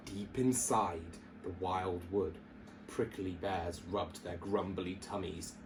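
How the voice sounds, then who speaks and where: A man's voice: low voice, steady tone, slow pace, background noise. A man reading a children's story aloud like a teacher at storytime, theatrical and emphatic.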